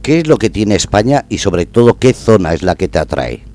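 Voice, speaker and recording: Deep, mellow male radio host. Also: very animated, a little fast. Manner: asks questions. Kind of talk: radio interview.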